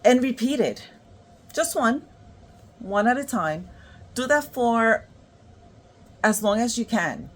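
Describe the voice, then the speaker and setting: A woman from Puerto Rico with a New York accent, giving a slow, encouraging motivational self-help talk full of affirmations. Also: a little high, lively intonation. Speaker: motivational creator.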